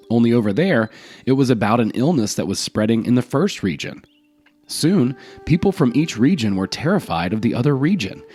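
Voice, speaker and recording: A man's voice: lowish voice, a little mellow. A man narrating scripted folk tales as a storyteller.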